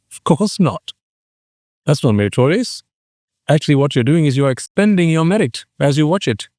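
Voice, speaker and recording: A man's voice: medium pitch, darkish tone, no echo, clean studio sound. A man giving a Buddhist dharma talk to an audience, full of rhetorical questions. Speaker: Buddhist teacher.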